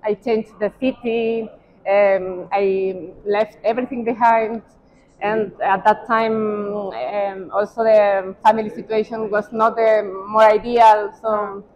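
A woman's voice: mid-range voice, little pitch movement, slow pace. A middle-aged woman, not a native English speaker, chatting casually as an interview guest and searching for words. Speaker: interview guest, medical doctor. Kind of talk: casual chat. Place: large indoor hotel hallway and walkway, while walking. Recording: dull sound with clearly noisy ambience.

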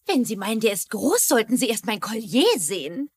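A woman's voice: fairly high pitch, animated, fairly quick, slightly rough. A woman playing a flashy, gushing new bride in a dubbed TV crime drama. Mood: gushing, showy.

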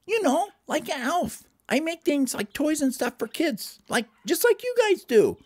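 A woman's voice: sits high, very animated, a little slow, a little mellow, voice pushed up. A woman voicing a chatty, kindly little elf in a children's Christmas story. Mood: reassuring. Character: friendly, reassuring little pointy-eared Christmas elf.

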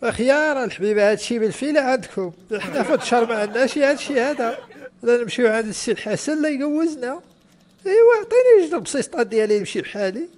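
Man doing a high-pitched, feisty Moroccan older woman. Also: animated, racing, darkish tone. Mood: indignant. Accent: northern Moroccan. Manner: grumbling, argumentative. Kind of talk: comedy cartoon dialogue.

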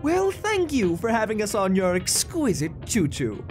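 A woman's voice: mid-range voice, huge pitch swings, normal speed, soft background music. A woman voicing a sassy, theatrical male elf wizard with a dramatic, sarcastic delivery. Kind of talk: comic dub voice acting.

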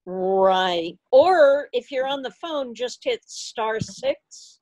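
A woman's voice: a little high, lively intonation, slow, a little mellow. A woman chatting casually as she hosts a club meeting over a video call. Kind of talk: casual chat.

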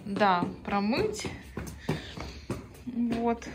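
A woman's voice: a little high, expressive, extremely slow, noisy background. A woman giving a casual, unscripted vlog tour, searching for words as she goes. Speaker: mother showing facilities in a vlog.

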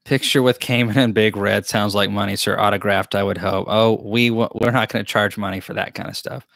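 A man's voice: fairly low pitch, steady tone, brisk, gravelly, fairly bright tone, dry recording, studio quality. A man, hosting a live beekeeping Q&A from a small wood-paneled room, rambling and chatty with frequent restarts. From Tennessee, US. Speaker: beekeeping channel host. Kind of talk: live Q&A chat. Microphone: desk mic close to camera.

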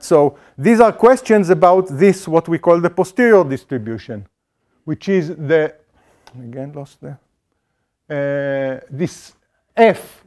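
A man's voice: fairly high pitch, a little mellow. A middle-aged man giving an academic statistics lecture in an auditorium, explaining step by step with frequent fillers and restarts.

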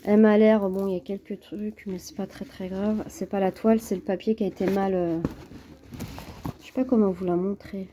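A woman's voice: medium pitch, level tone, moderate pace, dark tone, slight background noise. A woman with a cold, chatting casually and hesitantly to camera at home while unboxing a craft kit, with many fillers and restarts. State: has a cold. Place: at home, at a table.

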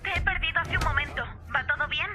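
A woman plays a businesslike, concerned ally calling in during a video game. Her voice is high-pitched. Loud music runs underneath the call. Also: quick pace, lightly acted. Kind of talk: video game voice acting, fan dub.

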